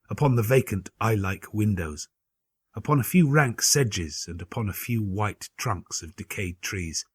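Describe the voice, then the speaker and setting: Husky, low-voiced man narrating gothic fiction. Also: no room sound, studio quality. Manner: grave, measured, theatrical delivery with formal literary phrasing. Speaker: audiobook narrator. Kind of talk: dramatic gothic audiobook narration.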